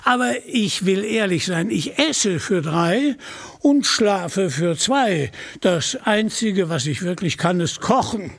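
A male narrator plays a gluttonous outlawed friar in a storybook tale. He speaks frankly and with a grumble, in a fairly high voice for a man. His hearty, honest words come slowly. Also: animated, gravelly, heavily acted. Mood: frank confession.

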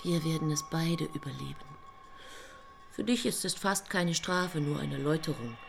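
Low-voiced, mocking angel. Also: female voice, lively intonation, moderate pace, vocal fry, faint music behind. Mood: cool reproach. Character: stern, mocking female angel, once Satan, chiding a prophet. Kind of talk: radio drama acting.

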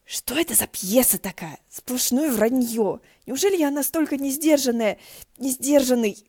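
A woman voicing an indignant, excitable young male wind deity with a theatrical, exclamatory manner in a storybook reading. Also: high voice, normal speed, voice pushed up.

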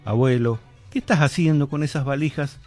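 A man's voice: mid-range voice, brisk, darkish tone, faint music behind, natural voice. A man with an Argentine accent acting as a devoted, affectionate young grandson in a radio drama. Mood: worried. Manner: earnest, affectionate.

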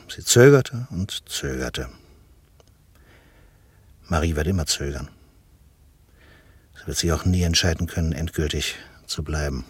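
An actor playing a weary, resigned doctor in a wartime radio drama, explaining himself hesitantly and deliberately. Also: male voice, extremely low pitch, breathy edge, no room sound, full character voice. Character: weary, resigned male doctor fleeing the war. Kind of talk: dramatic radio play acting.

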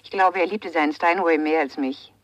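An actress playing a melancholy widow in a German radio crime drama, husky, intimate and reflective. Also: female voice, sits low, moderate pace, dry recording, close to an everyday voice. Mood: wistful. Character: melancholy, wistful widow of a nightclub pianist.

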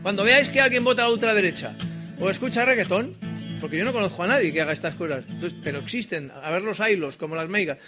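High-voiced Spanish man bantering over guitar. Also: expressive, fast. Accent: Spain Spanish. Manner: rambling, self-deprecating, asks the audience tag questions. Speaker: singer-songwriter and comedian performing live. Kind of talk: comic stage banter between songs. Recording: live performance with acoustic guitar. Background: acoustic guitar.

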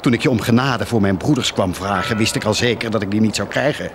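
A man's voice: on the low side, close to an everyday voice. Man playing a tormented priest over background noise. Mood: anguished, confessing. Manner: tormented, solemn, halting. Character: anguished, guilt-ridden humble parish priest. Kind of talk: radio drama acting.

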